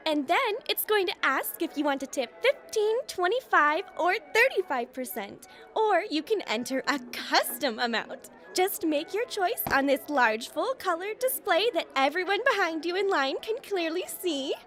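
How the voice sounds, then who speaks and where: A woman's voice: very high-pitched, big pitch swings, moderate pace, hardly any pauses, a bit bright, soft background music, exaggerated voice. A woman playing a perky, over-friendly, passive-aggressive coffee shop barista in an audio comedy sketch. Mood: chirpy. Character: relentlessly chirpy, guilt-tripping coffee shop barista.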